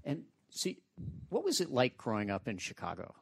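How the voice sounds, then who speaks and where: A man's voice: mid-range voice, wildly swooping pitch, moderate pace, creaky, breathy edge, no room sound. A man hosting a business radio interview, asking conversational questions and reading break announcements. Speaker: radio show host, venture investor.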